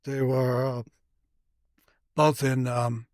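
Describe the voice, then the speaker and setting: An older man with a thick Brooklyn accent tells his story in a halting, unhurried way, filling gaps with "uh" and "um." His voice sits at an ordinary middle pitch. It is recorded dry and close on a podcast mic. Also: little pitch movement, darkish tone, no echo. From Brooklyn, New York. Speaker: guest, host's father. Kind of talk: casual conversation.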